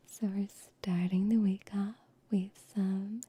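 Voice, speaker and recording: A woman's voice: medium pitch, slightly rough, no echo. A young woman whispering close to the mic as an ASMR streamer, chatting with her viewers in an affectionate, teasing way. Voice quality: soft whisper, mostly unvoiced. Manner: affectionate, teasing pet-play talk to viewers; slow, unhurried whispering. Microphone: shotgun microphones close to the mouth.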